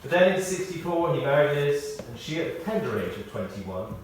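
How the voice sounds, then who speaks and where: Male eulogist, measured and drily humorous storyteller, reading a tribute in a very reverberant church, off-mic with faint noise. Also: a little high, sing-song, distant mic. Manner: measured storytelling with dry humour. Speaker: eulogist at a memorial service. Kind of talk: prepared spoken tribute, read aloud. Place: speaking in a large church.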